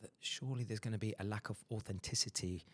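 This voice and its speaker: Low-voiced male podcast host, dry sound. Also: steady tone, no echo. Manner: quick, emphatic, many fillers and restarts. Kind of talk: casual interview chat.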